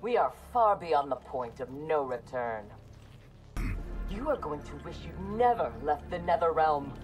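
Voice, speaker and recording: A woman's voice: sits low, expressive, a little slow, low-quality recording. A woman playing a proud, cold, ambitious armored warrior in a video game, stern and formal. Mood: resolute. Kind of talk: video game dramatic dialogue. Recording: music behind the voice.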